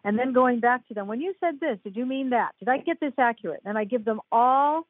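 An older woman talks in a chatty, rambling way, full of fillers and restarts and quick to laugh. Her voice comes through a narrow, phone-like line. The sound is dry, with no room around it. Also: a little high, animated, breathy edge, no room sound. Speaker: guest author. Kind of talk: casual interview chat.

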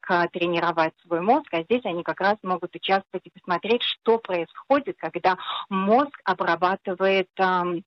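A middle-aged woman scientist explaining her research patiently on a radio interview, often pausing on hesitations. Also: lowish voice, no room sound. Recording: dull dry radio studio sound.

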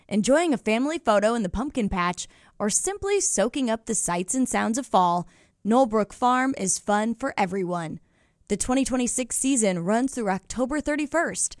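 A woman with a bright, higher voice delivers a cheerful ad read, inviting families in. Her read is dry and close, with no room sound. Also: no echo. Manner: bubbly, inviting. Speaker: commercial voice-over. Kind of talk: upbeat scripted ad read.

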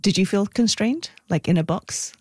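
A woman's voice: medium pitch, normal speed, a little mellow, breathy edge, no room sound. A woman hosting a live morning radio interview from a studio. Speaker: radio host interviewing a guest.

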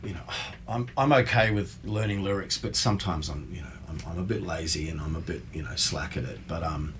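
A man's voice: sits low, a little fast, background noise. A man, a working musician, chatting casually as an interview guest and filling his talk with "you know". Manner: rambling, lots of "you know" fillers.

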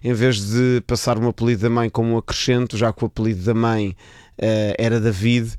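A man's voice: medium pitch, flat delivery, a little fast, a little mellow, clear, resonant tone, dry recording, studio quality. A man in his thirties from Portugal, a guest author talking casually in an interview, thoughtful and hesitant with many fillers. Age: 30s.

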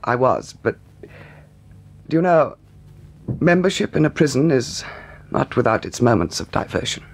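A man playing a vain English gentleman dandy in a period radio drama, with a haughty, mannered and hesitant delivery. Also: mid-range voice, sing-song, moderate pace, long pauses. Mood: wistful, languid. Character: vain, leisurely English gentleman dandy, indignant yet wistful.

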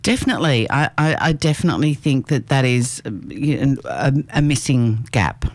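A woman's voice: very deep voice, lively intonation, moderate pace, no room sound. An Australian woman on a talk panel, speaking hesitantly with many fillers and restarts, and growing exasperated when she makes a point. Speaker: panel guest. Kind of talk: casual panel chat.

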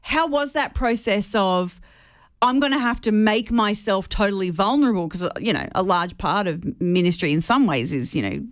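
A woman's voice: medium pitch, lively intonation, normal speed. A woman hosting a casual interview in an effusive, encouraging, conversational way. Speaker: interviewer, host.

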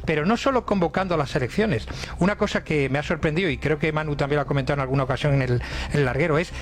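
A man's voice: a little high, racing. A man with a Castilian Spanish accent debating football as a pundit, emphatic and repeating words for stress. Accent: Castilian Spanish from Spain. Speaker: sports radio pundit.

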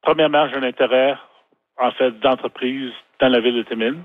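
A Canadian business owner answers questions over the phone in a halting way, often restarting his words. The line is thin and tinny, as if heard through a handset. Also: mid-range voice, fairly even intonation, dry recording. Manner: halting, many restarts and fillers. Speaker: interview guest, business owner. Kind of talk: phone interview. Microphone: telephone.

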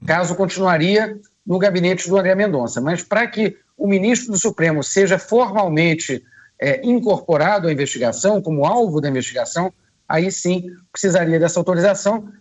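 A man with a cold giving measured radio news commentary, pausing often with hesitations. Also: sits high. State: hoarse from a cold. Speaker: political commentator.

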